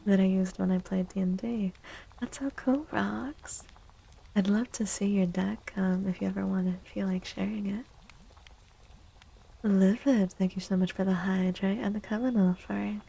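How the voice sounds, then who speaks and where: Woman, soft ASMR livestream chat, mellow dark tone, creaky edges, gentle, whispery, pausing between short phrases. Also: mid-range voice, fairly even intonation, poor audio. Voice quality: soft, breathy, often dropping into whisper. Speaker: livestream host.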